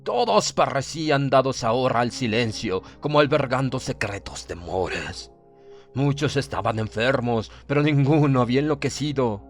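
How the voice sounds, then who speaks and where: A man's voice: mid-range voice, expressive, moderate pace. Man narrating horror over music. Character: stern, proud German submarine officer recounting events. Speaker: audiobook narrator. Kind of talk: dramatic scripted narration of a horror story.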